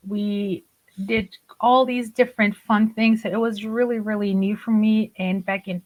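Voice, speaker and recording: A woman's voice: mid-range voice, fairly even intonation, unhurried, phone-quality sound. Chatty woman raised in Germany. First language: Turkish. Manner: chatty, laughs often. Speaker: podcast guest. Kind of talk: conversational interview.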